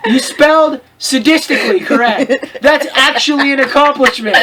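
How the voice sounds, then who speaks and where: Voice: sits high, normal speed, bright, forceful tone. A person giving a comedic dramatic reading of fan fiction, breaking off to mock it with exasperated, exaggerated emphasis. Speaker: co-host reading aloud.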